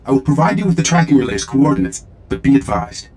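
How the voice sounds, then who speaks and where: Game droid, played by a man: stilted and disdainful, mid-pitched, loyal, highly varied intonation. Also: very animated, fast, a little mellow, a little hiss or hum, natural voice. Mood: clipped, scornful. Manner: stilted, formal robotic phrasing. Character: disdainful, literal-minded military droid, loyal to its maker. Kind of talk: video game voice acting.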